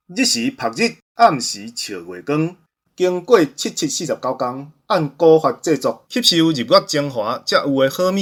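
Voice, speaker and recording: A man with a Taiwanese accent, hosting radio with a grandiose, earnest sales pitch. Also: bright, forceful tone. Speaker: radio host pitching a product.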